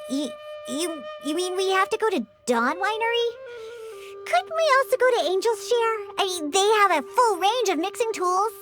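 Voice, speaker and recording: A woman's voice: extremely high pitch, sing-song, moderate pace. A woman voicing a proud, prickly little cat-girl bartender in a video game, with a haughty, cutesy, huffy manner. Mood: uneasy. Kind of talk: scripted video game character acting. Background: background music.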